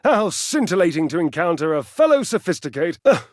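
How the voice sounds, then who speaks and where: An actor playing a vain, athletic talking appliance in a dating game, pompous and petulant, with flamboyant, condescending, wordy delivery. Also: male voice, high-pitched, sing-song, fairly quick, no room sound, clean studio sound. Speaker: video game character actor. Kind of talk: theatrical dating-game character acting.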